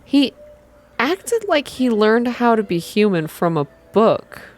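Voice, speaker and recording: Lady putting on a gossipy young gal for a visual novel, voice pitched up, chatty n friendly. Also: very animated, slow, full character voice. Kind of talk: visual novel character dialogue.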